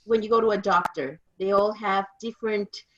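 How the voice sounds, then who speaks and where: A woman's voice: medium pitch, steady tone, normal speed, lo-fi sound. A woman of fifty with a Mexican accent, a native Spanish speaker, giving an informal explanatory presentation as an interpreter.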